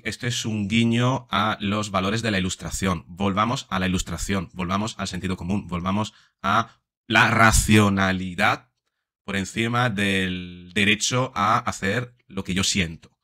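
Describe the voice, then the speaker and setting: Commentator, male, 40s, accent of Spain. Lowish, bright voice, explanatory and conversational, close-miked in a home studio. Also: normal speed. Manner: explanatory, often adding question tags. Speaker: commentator, psychologist. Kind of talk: casual speech analysis to camera. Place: small home studio room. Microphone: desk microphone up close.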